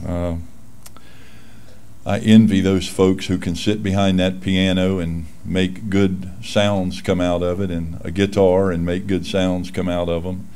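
Older male pastor, low and smooth, folksy and self-deprecating, lectern mic in a small church room. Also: level tone, a little slow, mellow. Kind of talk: casual church announcements. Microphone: lectern microphone.

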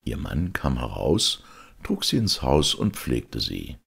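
A man narrating a fairy tale as a storyteller, theatrical and expressive. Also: rumbling low, slow pace, vocal fry, slightly rough. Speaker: storyteller, audiobook reader.

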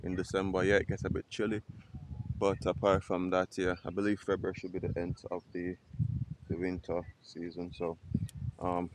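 A young Jamaican man talks in a loose, easygoing travel-vlog way, full of ums and musing asides. His voice sits a bit low, and he films himself at arm's length outdoors. Wind and water noise run clearly under him. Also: low-quality recording. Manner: relaxed, chatty, thinking aloud with fillers. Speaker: travel vlogger. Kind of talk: casual travel vlog to camera. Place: outdoors on a lake island and boat. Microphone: action camera at arm's length.

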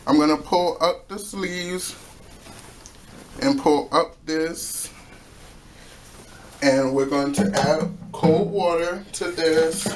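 A young man with a fairly high voice talks slowly and easily through a home craft project, tossing in playful asides. He is recorded on a camera mic in a small echoey bathroom, with a faint hiss of background noise. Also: fairly bright tone. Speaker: DIY vlogger. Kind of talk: casual how-to vlog.

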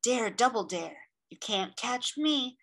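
A woman's voice: on the high side, expressive, slow, fairly bright tone, heavily acted. A woman voicing the letter A as a cheeky little boy, calling out a sing-song dare in a children's storybook. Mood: taunting.

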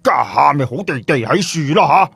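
A man's voice: a little high, animated, normal speed, creaky, fairly bright tone, breathy edge, dry recording, heavily acted. A man voicing a brash, scornful, streetwise fellow with a blunt, slangy, mocking manner in an audio story.

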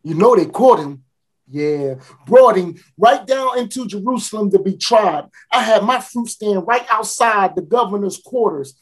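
A woman performing a first-person monologue as a mocking, boastful street fruit seller, talking folksy and dramatic, with repeated builds and sudden shouts. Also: mid-range voice, expressive, unhurried, breathy edge. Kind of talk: theatrical spoken-word monologue.

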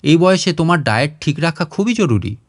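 A man's voice: on the high side, sing-song, a little fast. A man voicing a grave, concerned adult daughter in a story, questioning and scolding insistently. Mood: insistent nagging.

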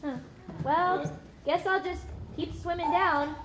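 Naive young whale puppet, female actor: extremely high, exclamatory, live recording with faint noise. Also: steady tone, takes it slowly. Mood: curious. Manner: wide-eyed, hesitant exclamations.

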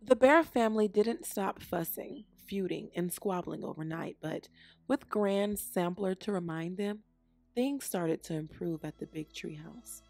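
A woman narrating a children's storybook aloud with deliberate, expressive phrasing. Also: fairly low pitch, gravelly, dry recording, soft background music. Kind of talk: read-aloud scripted narration.

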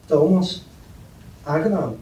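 A man's voice: fairly high pitch, slow, dark tone. A man playing a reserved, sensible young banker in a matter-of-fact manner at a script table read. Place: meeting room. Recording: roomy, distant mic, faint noise.

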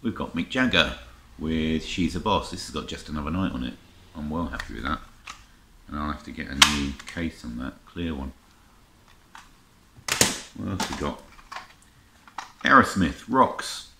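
Very deep-voiced middle-aged man chatting. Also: expressive, a little slow, creaky, long pauses. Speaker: vlogger showing a music haul. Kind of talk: casual chat to camera, unscripted. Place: indoor room lined with record shelves. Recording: roomy echo, faint noise, clicking handling sounds.

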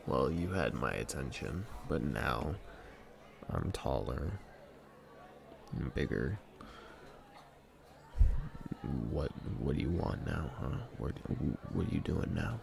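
Guy playing a shy bullied boyfriend finding his nerve, asmr roleplay, super low gravelly murmur, slow n hesitant. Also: sing-song, noisy background, exaggerated voice. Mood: guarded, assertive. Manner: hesitant, murmured, with pauses and hums. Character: formerly bullied shy young man, now standing up, flustered.

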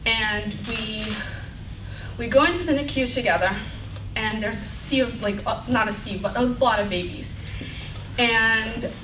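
A woman's voice: on the high side, unhurried, far from the mic. Young woman telling a story in an echoey hall. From Bulgaria. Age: young adult. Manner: earnest, animated storytelling. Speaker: student speaker. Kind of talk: personal story talk. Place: lecture hall with brick walls. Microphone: far room mic. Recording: echoey hall recorded from afar, noisy.

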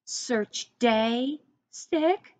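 A middle-aged American woman reads a picture book aloud for first graders. Her pitch swings widely and her pace is slow, and she speaks straight to the children as she explains words. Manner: expressive, deliberate, instructing listeners directly. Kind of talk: picture book read-aloud for young children with teaching asides. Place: small room indoors, seated at a desk.

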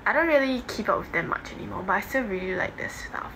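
A woman's voice: medium pitch, animated, fast, sharp tone. Young Singaporean woman, noisy phone recording. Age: young adult. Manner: informal, offhand, slangy. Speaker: livestream host. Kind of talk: casual livestream chat, reading and answering comments. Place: home bedroom, indoors. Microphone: phone at arm's length.

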